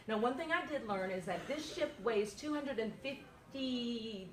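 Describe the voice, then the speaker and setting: A woman's voice: fairly high pitch, lively intonation, unhurried. Effusive woman host in a roomy space. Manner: effusive, exclaiming often. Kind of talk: interview hosting.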